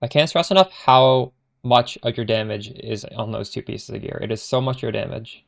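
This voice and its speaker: A man's voice: medium pitch, normal speed, gravelly, faint music behind. A Canadian man with a Canadian accent, streaming a game live and chatting casually with viewers, often trailing off mid-sentence. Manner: chatty, answers chat questions while playing, trails off mid-sentence.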